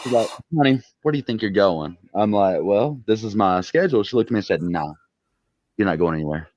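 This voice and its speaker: A man's voice: on the low side, expressive, fairly quick, darkish tone, dry recording. A man swearing his way through a blunt story on a casual live stream. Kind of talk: profane storytelling. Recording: dull lo-fi sound.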